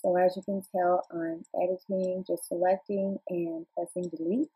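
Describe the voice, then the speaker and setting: Mellow, husky woman teaching screen steps. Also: lowish voice, normal speed, rough recording. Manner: plain instructional, walks through clicks calmly. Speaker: software tutorial presenter. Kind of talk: step-by-step screen tutorial. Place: home desk, filming a computer screen.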